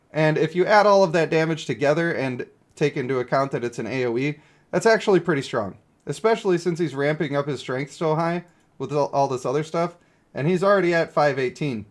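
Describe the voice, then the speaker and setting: A man with a slightly higher-than-average voice talks as a game streamer. He moves between reading text aloud and reacting in loose, slangy speech. His delivery is informal and conversational, as if thinking aloud for a live stream.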